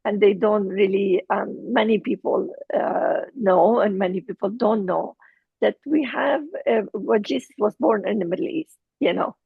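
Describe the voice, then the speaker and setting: A middle-aged woman with a Lebanese accent, telling her personal story as an interview guest, halting as she searches for words. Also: medium pitch, vocal fry, breathy edge, no echo, phone-quality sound.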